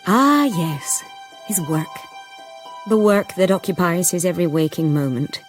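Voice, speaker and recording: Woman acting as atmospheric storyteller, fairly low voice, deliberate dramatic phrasing, radio play narration, music underneath. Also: lightly acted. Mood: knowing, grave. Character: knowing, atmospheric female storyteller.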